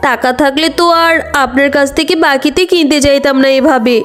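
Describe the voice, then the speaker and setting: A woman's voice: high voice, fairly even intonation, a little fast, fairly bright tone. A woman voicing a weary, poor mother fox in a cartoon, plaintive and firm, over music. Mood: pleading.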